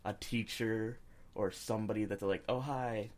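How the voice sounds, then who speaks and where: A man's voice: on the low side, fairly even intonation, moderate pace, a little mellow. A man co-hosting a casual podcast chat, speaking informally in hesitant, wandering phrases. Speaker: podcast co-host.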